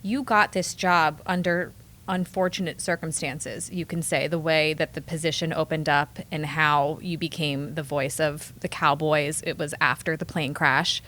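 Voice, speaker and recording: Female podcast host, American, low-pitched voice, measured conversational delivery for interview questions. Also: steady tone, fairly bright tone.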